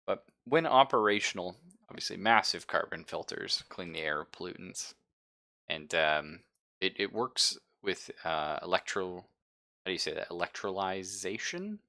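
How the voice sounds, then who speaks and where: A man with a deep, bright voice acts as game master for a tabletop roleplaying game. His narration is casual and conversational, with joking and swearing mixed in. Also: animated, normal speed.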